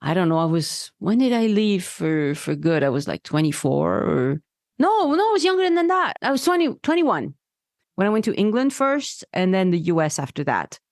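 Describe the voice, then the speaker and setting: A woman's voice: fairly low pitch, sing-song, moderate pace, a little mellow, no room sound, clean studio sound. A woman from France hosting a podcast, chatting and reminiscing, laughing easily. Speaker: podcast host. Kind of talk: casual conversation.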